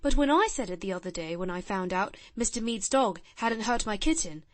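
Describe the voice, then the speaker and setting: A woman's voice: mid-range voice, animated, a little fast, dry recording, pitched below the performer's own voice, lightly acted. A woman voicing a shy, blunt, earnest little boy of nearly ten in a storybook reading.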